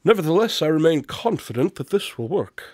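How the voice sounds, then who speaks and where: An actor playing a shrewd, confident male detective in a radio drama, speaking in a measured, deliberate and dryly condescending way. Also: on the high side, big pitch swings, no room sound, clean studio sound. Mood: self-assured.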